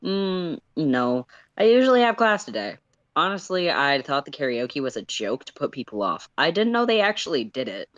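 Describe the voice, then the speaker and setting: A woman's voice: sits low, big pitch swings, moderate pace, highs cut off. A woman voicing a dry, put-upon, cool young man in a story read on a livestream. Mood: dry, skeptical. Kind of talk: character reading on a livestream.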